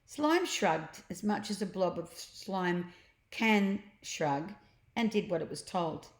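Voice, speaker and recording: A woman's voice: lowish voice, slow. Echoey woman reading a children's storybook. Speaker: storybook reader. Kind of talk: children's read-aloud narration with asides about the pictures. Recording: echoey, roomy home recording.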